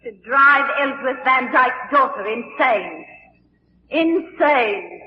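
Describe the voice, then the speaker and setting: A woman's voice: high voice, animated, lo-fi sound. An actress playing a vengeful, murderous woman villain in a theatrical old radio mystery style. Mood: gloating menace. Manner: slow, theatrical, drawn-out menace. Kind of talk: 1940s radio mystery acting. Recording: old radio recording, echoey hall, faint noise.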